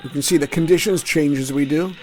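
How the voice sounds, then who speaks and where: A man's voice: on the high side, brisk. A man giving casual, unscripted commentary as he tunes a radio, thinking aloud in short remarks. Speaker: radio hobbyist host. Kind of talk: casual screen-recorded tuning commentary. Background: radio music and signals from the receiver.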